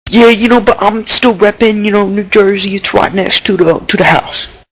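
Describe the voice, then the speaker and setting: A man's voice: high-pitched, fairly even intonation, normal speed, rough recording. A man playing a dim-witted young baseball prospect who rambles with slang and lots of fillers. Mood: cocky, rambling. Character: dim-witted young male baseball prospect, deli-obsessed, Bronx swagger. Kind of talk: improvised mock interview.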